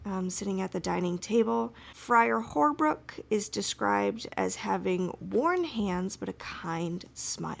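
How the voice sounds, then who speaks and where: Female podcast co-host, casual and giggly, enthusiastic fan chat, some vocal fry. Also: mid-range voice. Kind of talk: casual fan discussion with recap.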